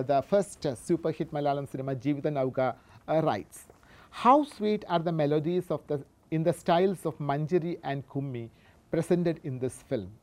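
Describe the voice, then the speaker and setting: Female academic presenter, low soft-edged voice, reads from a paper with halting explanatory asides. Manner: halting, explanatory, with frequent self-corrections. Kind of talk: scholarly lecture read from a paper with spoken asides.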